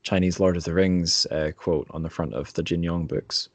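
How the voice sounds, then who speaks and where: Deep-voiced man hosting, very dry sound. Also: steady tone, normal speed, dry recording. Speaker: podcast host. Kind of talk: casual interview conversation.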